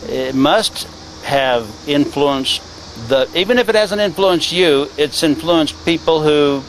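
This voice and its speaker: A man's voice: fairly high pitch, slow, a little hiss or hum, rough recording. An older man interviewing outdoors with a handheld mic, asking blunt personal questions in a casual way. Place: outdoors against a garage door.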